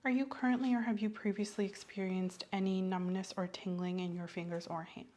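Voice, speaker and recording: A woman doing an ASMR doctor roleplay, soft-spoken, gentle and instructive, often slipping into whispers. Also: mid-range voice, steady tone, brisk. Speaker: ASMR performer role-playing a doctor.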